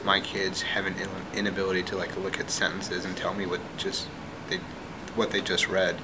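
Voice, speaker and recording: A man's voice: low voice, monotone, normal speed. A man of twenty-two, a new teacher, giving a candid spoken account over background noise. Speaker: teacher in training. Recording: clearly noisy ambient recording.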